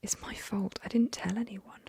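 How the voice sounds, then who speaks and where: A woman's voice: mid-range voice, fairly even intonation, moderate pace, gravelly, voice pushed up, natural voice. A woman whispering as a nervous teenage boy. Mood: guilty. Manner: whispered, hushed.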